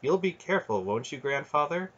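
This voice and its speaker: An earnest young hero, put on by a man reading aloud, speaks in a high, questioning voice. The tone stays slightly husky and the recording is dull and lo-fi. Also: lively intonation, fairly quick, a bit bright. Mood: worried, earnest. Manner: raised, youthful delivery, questioning. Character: earnest young male boy hero, worried.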